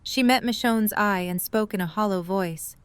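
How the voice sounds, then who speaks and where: Clear, smooth woman narrator. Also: mid-range voice, normal speed, no echo. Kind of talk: scripted story narration.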